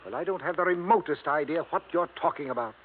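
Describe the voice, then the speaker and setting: Man playing a stuffy high-pitched radio lawyer. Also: quick pace, narrow, tinny audio, natural voice. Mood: indignant. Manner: prim, formal, indignant. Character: stuffy, evasive, huffy male senior lawyer. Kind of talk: 1950s radio drama acting. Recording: thin old radio broadcast, faint noise.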